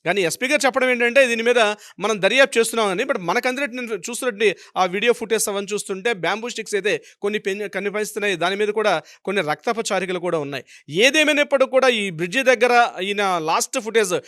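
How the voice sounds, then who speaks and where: Fast, high-pitched Telugu man explaining a case. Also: bright, forceful tone, dry recording, clean studio sound. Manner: emphatic, repeats himself and poses rhetorical questions. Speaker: advocate commenting as a legal expert. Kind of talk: explanatory legal news commentary.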